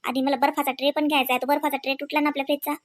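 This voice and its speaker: A woman's voice: high-pitched, level tone, racing, close to an everyday voice. A woman voicing a chatty, practical young housewife and mother in a comedy cartoon, speaking homely, coaxing Marathi with a Varhadi accent from Vidarbha. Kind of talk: colloquial domestic comedy cartoon dialogue.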